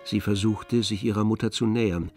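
A man narrating a literary radio drama in a measured, composed storytelling manner. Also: fairly low pitch, steady tone, a little mellow, faint music behind, close to an everyday voice. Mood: measured, descriptive.

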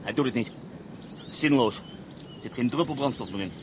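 A man's voice: medium pitch, normal speed, close to an everyday voice. An actor playing a determined male fugitive leader who gives curt orders in a radio play. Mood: impatient. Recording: loud engine noise behind.

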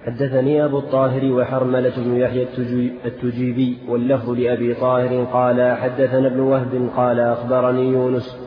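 Hadith reader, man, flat steady recitation, echoey lo-fi room with a crowd behind. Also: medium pitch. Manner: flat, measured reading. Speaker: student reading hadith aloud to the sheikh.

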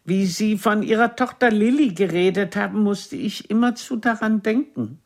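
An actress playing an aging, imperious stage diva who teases a former lover in a deliberate, theatrical radio drama delivery. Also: female voice, medium pitch, lively intonation, lightly acted. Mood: measured, proud.

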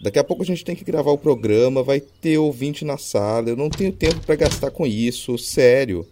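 A man's voice: medium pitch, a little fast, warm tone, slight background noise. A Brazilian man talking informally and wryly as a podcast host, in a casual, lightly comic style. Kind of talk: casual podcast hosting with scripted comic skit.

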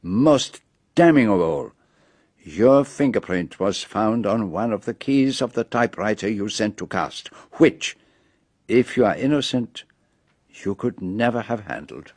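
An actor playing a brilliant, self-assured foreign detective with a French accent, explaining precisely and theatrically in a radio drama. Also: male voice, on the low side, expressive, a little slow, a little mellow, no echo. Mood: firm, certain. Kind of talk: BBC radio drama acting.

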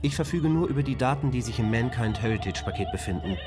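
An actor playing a calm male onboard computer of a spaceship, matter-of-fact and logical in a radio drama. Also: mid-range voice, quick pace, over music, natural voice. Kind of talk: German radio drama acting.

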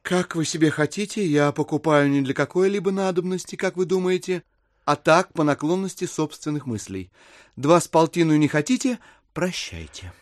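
An actor playing a courteous but stubborn, evasive gentleman buyer in an audio drama. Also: fairly high pitch, animated, moderate pace, no echo, studio quality, close to an everyday voice. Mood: firm. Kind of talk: theatrical audio drama acting.

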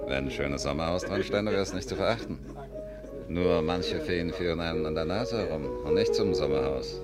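An actor playing a smug, condescending ladies' man and petty crook in a 1950s radio play, patronising and smooth-talking. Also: sits low, normal speed, natural voice. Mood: smooth, insinuating. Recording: 1950s radio recording under loud music.

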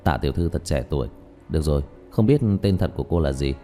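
A man playing a calm, matter-of-fact young male exorcist in an audiobook, speaking in a low-key, measured way. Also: soft background music. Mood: composed. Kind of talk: audiobook character dialogue.

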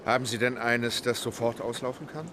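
An actor playing a composed, courteous English gentleman traveller in a German audio drama, speaking formally and precisely. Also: medium pitch, little pitch movement, a little fast, noisy background, natural voice. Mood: businesslike, determined. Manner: formal, polite, unflappable, precise.

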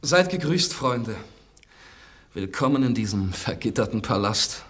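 An actor playing an idealistic, confident young poet and army doctor in a radio drama, speaking earnestly and with spirit. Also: male voice, lowish voice, animated, measured pace, a bit bright, husky, full character voice. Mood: good-humoured, ironic.

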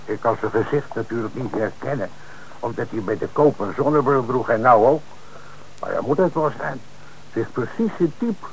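A man's voice: mid-range voice, expressive, normal speed, vocal fry, slight background noise, low-quality recording. An actor playing a nervous, hesitant male car dealer who is called in as a witness in an old radio play.

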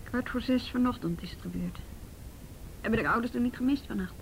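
An actress playing a brisk, persistent policewoman in a radio drama, with a probing, matter-of-fact manner. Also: fairly high pitch, creaky, slight background noise, low-quality recording. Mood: dry, probing. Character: brisk, persistent policewoman questioning colleagues and a witness.

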